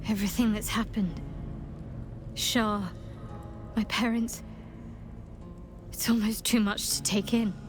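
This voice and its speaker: An actress playing a grieving, willful young woman in a video game, speaking in a measured, hushed, halting and reflective way. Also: medium pitch, flat delivery, over music, natural voice. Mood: weary, reflective. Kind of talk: cinematic video game voice acting.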